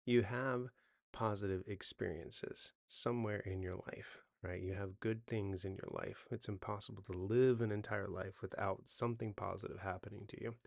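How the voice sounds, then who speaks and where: Gravelly-voiced man in his forties. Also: on the low side, steady tone, normal speed, dry recording, clean studio sound. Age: 40s. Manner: conversational, self-correcting, often checks in with "right?". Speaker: hypnotist and coach giving sleep advice. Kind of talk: unscripted how-to talk to camera.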